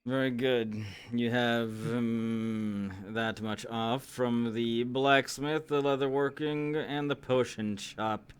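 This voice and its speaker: A man playing a stern, formal fantasy adventurers' supervisor in a roleplay, speaking deliberately with weighty pauses. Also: medium pitch, fairly even intonation, clear, resonant tone, natural voice. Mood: businesslike. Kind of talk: improvised fantasy roleplay in character.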